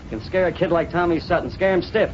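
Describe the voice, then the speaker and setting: An actor with an American accent playing a brash, wisecracking newspaper reporter in a slangy, cocky vintage radio drama style. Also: male voice, high voice, quick pace, close to an everyday voice. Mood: defiant. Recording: muffled old radio recording, faint noise.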